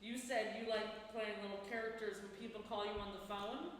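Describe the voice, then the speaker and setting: A woman's voice: mid-range voice, steady tone, brisk. A woman hosting a casual interview, prompting guests in an informal, playful way. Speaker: host, improv teacher. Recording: echoey hall, some background noise.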